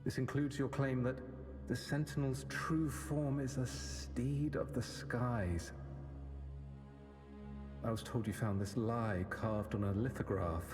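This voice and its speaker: A solemn, sanctimonious male interrogator of the faith played by a man speaks slowly and deliberately. His stern game-drama delivery rings through long reverb, with music clearly behind him. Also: mid-range voice, fairly even intonation, natural voice. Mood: stern, admonishing. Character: stern pious male religious interrogator offering conditional mercy. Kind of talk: dramatic video game voice acting.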